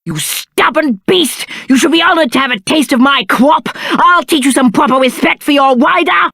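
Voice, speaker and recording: A man's voice: very high voice, moderate pace, vocal fry, pushed and bright, dry recording, clean studio sound, heavily acted. A male actor playing a spoiled, cowardly young prince in a video game, with a British accent and haughty, whiny, aristocratic diction. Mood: furious, shrill. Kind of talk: theatrical video game character acting.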